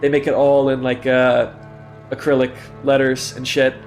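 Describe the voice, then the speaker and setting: A man's voice: medium pitch, completely flat delivery, normal speed, faint music behind. A young man with a stuffy nose, tired and self-deprecating, rambling through casual commentary on a live game stream.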